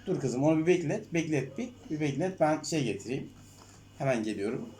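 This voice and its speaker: A man's voice: on the high side, expressive, fairly quick, mellow. A man at home, patiently coaxing his daughter through an experiment with leading questions. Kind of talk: casual home video chat. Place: home, indoors.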